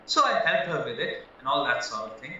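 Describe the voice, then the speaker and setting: A man's voice: mid-range voice, sing-song, brisk, sharp tone, a little hiss or hum. A man acting a frank, flustered young married murder suspect in an audio play, hesitant and earnest as he protests. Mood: candid, explaining. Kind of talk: stage-style courtroom audio drama acting. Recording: echoey, distant, dull, music behind.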